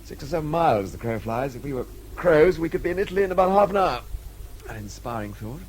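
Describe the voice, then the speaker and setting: Casting: man playing clipped English fugitive, wry and resourceful, lightish higher register, radio drama with some background noise. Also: lively intonation, fast talker, lightly acted. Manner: clipped, wryly understated. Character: brisk, dry-witted Englishman on the run, resourceful. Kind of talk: BBC radio drama acting.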